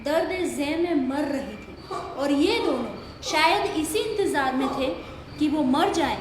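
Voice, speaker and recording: A woman's voice: high-pitched, expressive, a little slow. A woman narrator reading a scripted story for an audio play. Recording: very echoey room, faint background noise.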